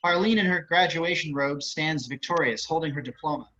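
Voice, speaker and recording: A man's voice: on the high side, fairly quick, sharp tone. A man reading stage and screen directions aloud as scripted narration. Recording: muffled online call audio.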